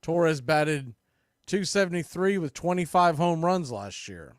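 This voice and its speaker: A man calling baseball play-by-play for a fan radio stream, steady, lifting his voice on big plays. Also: fairly high pitch, a little slow, no echo, clean studio sound. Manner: steady calls, rising excitement on big plays. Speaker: play-by-play announcer.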